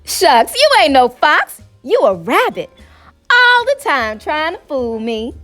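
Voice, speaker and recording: A woman's voice: high-pitched, wildly swooping pitch, takes it slowly, a bit bright, voice pushed up, heavily acted. A woman voicing a sassy, unimpressed little girl with a rural Southern US accent in a storybook, matter-of-fact, over music. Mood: skeptical. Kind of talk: storybook character voice.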